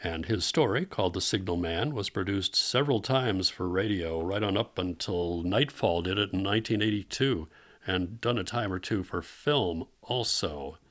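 A man's voice: low voice, normal speed, fairly bright tone. A man hosting a radio theater program, reading a scripted introduction to vintage broadcasts. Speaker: radio theater podcast host.

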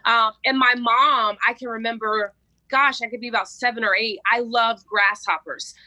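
A woman in her forties, a coach speaking as a guest in an interview, energetic and emphatic as she tells stories. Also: a little high, moderate pace, poor audio. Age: 40s. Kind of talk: interview conversation.

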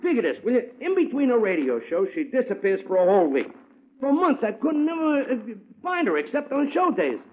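An actor playing a fast-talking, excitable male talent agent in a 1950s radio drama, using streetwise slang. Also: extremely high pitch, exaggerated voice. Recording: tinny old radio broadcast.

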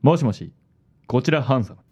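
A man playing a suave, vain young ladies' man in a radio drama, with smooth, affected phrasing. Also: medium pitch, lively intonation, fairly quick, mellow, lo-fi sound, lightly acted. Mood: suave, smug.

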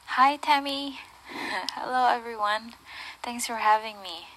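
A woman from the Philippines with a Filipino accent, an English teacher and guest introducing herself in a relaxed, friendly way. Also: fairly high pitch, slow pace, poor audio. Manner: friendly and relaxed, with light fillers. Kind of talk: casual self-introduction.

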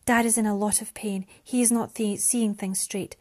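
Female narrator as young female friend, sad, gentle, consoling, storybook dialogue. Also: medium pitch, steady tone, normal speed, dry recording, clean studio sound, natural voice.

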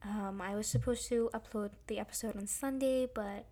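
A young woman hosting her own podcast, slightly hoarse as she recovers from being sick, giving earnest advice straight to her listeners. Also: medium pitch, fairly even intonation, normal speed, dark tone. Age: young adult. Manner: earnest, emphatic, addresses listeners directly. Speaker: podcast host. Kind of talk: casual solo podcast advice talk.

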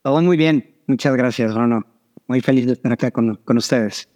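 A man's voice: medium pitch, normal speed, darkish tone. A middle-aged man with a Mexican accent, giving conversational interview answers as a guest and mixing in English business terms. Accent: Mexican Spanish. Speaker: podcast guest, startup founder.

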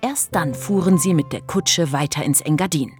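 An actress playing a chatty, scatterbrained narrator in an audio play, musing and drifting into playful asides. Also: female voice, low voice, moderate pace, darkish tone, faint music behind, close to an everyday voice. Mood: musing, animated. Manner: musing, hesitant, wandering off into asides. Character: chatty, scatterbrained woman narrator, playfully straying from her script. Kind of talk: scripted audio play for a hiking trail, conversational.

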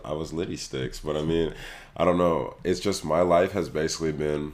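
A man hosting a casual podcast chat, hoarse from losing his voice, laughing often and talking loosely. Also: very deep voice, steady tone, normal speed, a little mellow. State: hoarse, lost voice. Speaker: podcast host.

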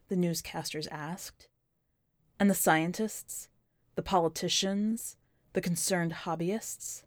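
A woman narrating literary fiction from a script, with measured, deliberate phrasing in short clipped sentences. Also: mid-range voice, no echo, studio quality. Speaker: podcast host reading a short story.

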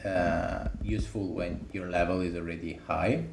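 A man hosting a podcast. He talks softly and hesitantly in a casual conversation. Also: deep voice, fairly even intonation, moderate pace, creaky, echoey room, noisy background. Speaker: podcast host.

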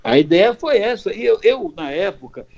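A man's voice: sits high, lively intonation, moderate pace, creaky, darkish tone. Emphatic older man, muffled sound. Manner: emphatic, keeps asking "entendeu?". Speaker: advertising executive guest. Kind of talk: casual interview talk.

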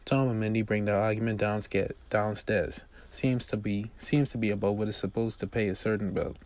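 Lowish-voiced man narrating a script. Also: steady tone, brisk, a little mellow, dry recording. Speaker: amateur screenwriter reading own script. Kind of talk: read-aloud script narration.

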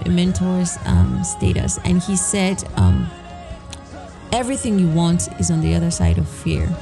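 Raspy-ish lady podcaster, lowish voice, earnest and encouraging, music playing under her. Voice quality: slightly raspy. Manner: earnest, encouraging, many fillers. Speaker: podcast host. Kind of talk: solo reflective podcast talk.

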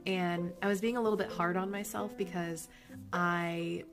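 A woman with a somewhat low, slightly nasal voice talks loosely and without a script, upbeat and encouraging. She sounds like a motivational podcast host. Faint music plays behind her. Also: fairly even intonation, normal speed. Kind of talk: casual motivational monologue.

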